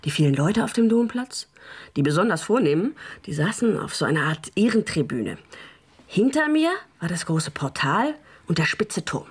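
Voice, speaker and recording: An actress playing an excitable esoteric woman convinced of her past life, chatty and self-assured, in a naturalistic radio drama. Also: fairly low pitch, normal speed, breathy edge. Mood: enthralled.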